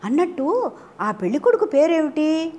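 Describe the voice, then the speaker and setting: A woman's voice: sits high, sing-song, moderate pace, dark tone, voice pushed up. A woman storyteller voicing a trusting, curious cat in a children's tale, eager and full of questions. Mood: curious, puzzled.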